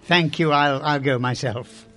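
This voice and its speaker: An actor playing a reformed old miser in a radio drama, British, effusive and stammering, apologetic and grateful. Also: male voice, a little high, a little slow, fairly bright tone, faint music behind. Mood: apologetic, courteous. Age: older. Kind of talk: family radio drama acting.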